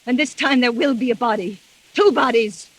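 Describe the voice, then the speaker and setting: An actress playing a jealous, vengeful wife driven to madness in melodramatic 1940s radio mystery style. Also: female voice, a little high, normal speed, breathy edge. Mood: bitter, vengeful. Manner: melodramatic, menacing. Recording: old muffled 1940s radio recording.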